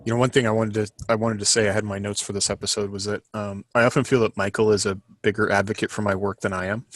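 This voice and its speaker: A man chatting casually as a podcast panelist, a little halting, with a jokey, self-deprecating manner. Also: fairly low pitch, flat delivery, fairly bright tone, no room sound, studio quality. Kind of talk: casual chat.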